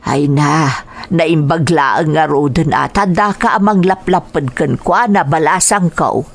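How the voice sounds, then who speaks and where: Woman acting a gentle, affectionate Ilocano mother. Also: low-pitched, a little slow, vocal fry, exaggerated voice. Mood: tender, concerned. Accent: Ilocano Filipino. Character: affectionate mother and auntie, gently advising, middle-aged. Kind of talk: Ilocano audio drama acting.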